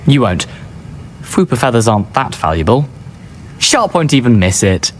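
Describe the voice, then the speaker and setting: A man's voice: medium pitch, very animated, moderate pace, a little hiss or hum, close to an everyday voice. A man voicing a cheeky, persuasive teenage schoolboy in a video game, eager and coaxing, with a British accent. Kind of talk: video game character acting.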